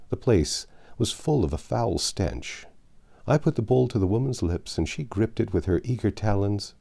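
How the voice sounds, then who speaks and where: A man narrating a classic novel aloud as an expressive literary reading. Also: on the low side, gravelly. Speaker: audiobook narrator.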